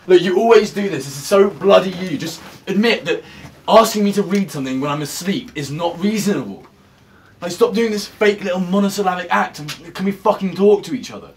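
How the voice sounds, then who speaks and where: A man's voice: high voice, a little fast, slight background noise. An actor playing a frustrated young male student, speaking informally and swearing in a small bedroom. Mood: angry. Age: young adult. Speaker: film actor. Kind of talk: naturalistic film dialogue.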